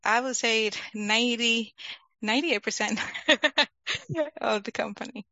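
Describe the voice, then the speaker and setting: A woman's voice: on the high side, takes it slowly, bright, forceful tone, no echo, muffled recording. A young woman with a Dominican accent, a product manager chatting as an interview guest and laughing often. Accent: Dominican Spanish. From Dominican Republic. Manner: laughs often, talkative, restarts phrases. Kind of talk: casual interview chat.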